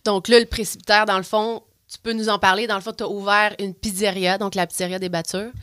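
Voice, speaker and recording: A woman co-hosts a studio podcast, hesitating over her words with frequent fillers. Her voice is bright and forward at an everyday pitch. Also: level tone, brisk. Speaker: podcast co-host. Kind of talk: casual interview hosting. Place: small studio behind plexiglass.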